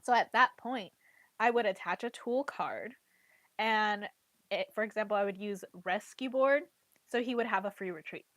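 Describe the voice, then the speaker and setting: A woman's voice: medium pitch, moderate pace, fairly bright tone, no echo. Chatty congested woman, dry close sound. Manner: chatty, heavy use of "like" and "literally". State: congested, getting over a cold. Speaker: trading card game player and content creator. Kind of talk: casual chat.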